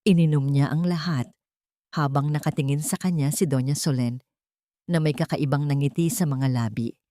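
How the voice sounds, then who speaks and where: Low-voiced woman narrating a drama. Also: normal speed, no echo, studio quality. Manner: steady, dramatic storytelling. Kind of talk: scripted audiobook drama narration.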